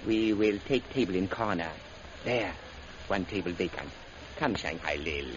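Scheming chinese general in old radio show, low voice goes up and down, stage chinese accent, broken english. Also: male voice, animated, measured pace. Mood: businesslike. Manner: clipped broken English, formal, proverb-quoting. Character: volatile, scheming Chinese male general, loyal agent. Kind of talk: old-time radio adventure serial acting. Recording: noisy, muffled old radio recording.

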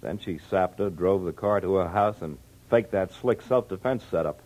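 Shrewd American cop, lower register, plainspoken, conversational, 1940s radio crime drama. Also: male voice, moderate pace, darkish tone, low-quality recording. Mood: explaining, brisk. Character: male police detective lieutenant, plainspoken, shrewd.

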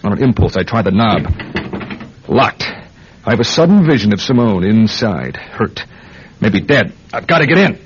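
An actor playing a hard-boiled American intelligence agent in a radio drama, narrating and talking tersely. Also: male voice, lowish voice, very animated, moderate pace, breathy edge, exaggerated voice. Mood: urgent. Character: American intelligence agent narrating his own case, tense, smitten. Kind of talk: radio drama acting, first-person narration. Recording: dull radio drama recording, music underneath.

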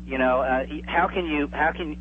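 A man in his forties chatting as an interview guest, enthusiastic and reminiscing, often halting and repeating words, heard over a phone. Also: a little high. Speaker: interview guest, radio drama producer. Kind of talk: casual chat, reminiscing. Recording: tinny phone line with hum and faint noise.

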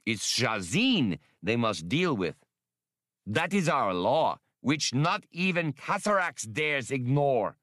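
A man's voice: mid-range voice, big pitch swings, slow, fairly bright tone, dry recording, clean studio sound, voice pushed up. A man voicing a huge, battle-scarred old creature in a storybook, proud and defiant, speaking formally with a whistling voice. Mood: defiant, resolute. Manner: solemn, formal, declamatory.

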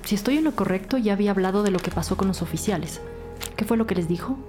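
An actress playing a brisk, firm police detective in a scripted audio drama. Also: female voice, mid-range voice, lively intonation, music in the background, natural voice. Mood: firm, questioning.

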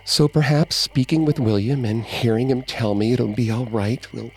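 A man voicing a grieving, frightened widow who believes she is cursed, speaking in an even, dignified way. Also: medium pitch, normal speed, soft background music, lightly acted, rough recording. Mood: fearful.

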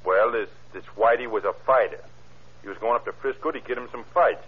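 Man acting a husky drifter under suspicion, old radio. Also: mid-range voice, close to an everyday voice. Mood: pleading, explaining. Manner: slangy, ungrammatical, halting protests. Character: uneducated drifter suspect, evasive, telling a tall tale. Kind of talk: old-time radio crime drama acting. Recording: muffled vintage radio recording.

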